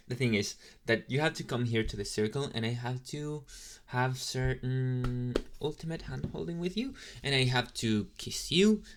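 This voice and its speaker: A man playing an inexperienced young male demon intern in an ASMR roleplay, chatting in a casual, hesitant, apologetic way straight to the listener. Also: mid-range voice. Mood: awkward, explaining. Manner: rambling, hesitant, casually chatty with slangy asides.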